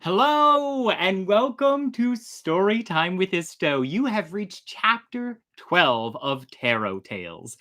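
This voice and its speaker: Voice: medium pitch, a bit bright. A storytelling show host presenting casually to an online audience in a chatty, theatrical way. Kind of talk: casual livestream presenting to camera.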